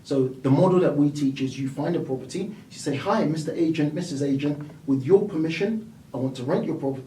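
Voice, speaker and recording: A man guesting on an interview show, telling stories with lively quoted voices, from the United Kingdom. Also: a little high, fast, slight background noise. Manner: animated storyteller, acts out quoted voices. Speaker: property investor and mentor. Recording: echoey room, mic at a distance.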